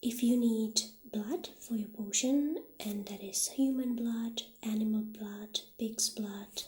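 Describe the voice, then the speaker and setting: A woman speaking softly and breathily, often near a whisper, in a gentle ASMR roleplay as a witch shopkeeper. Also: a little high, slow. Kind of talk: soft-spoken, half-whispered ASMR roleplay. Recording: roomy echo, audible background noise.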